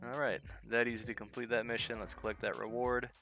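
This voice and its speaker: A man's voice: mid-range voice, level tone, fast talker, pushed and bright. A young man with a head cold giving casual commentary on a mobile game, thinking aloud as he reads out skill text. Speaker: gaming channel host. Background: game music.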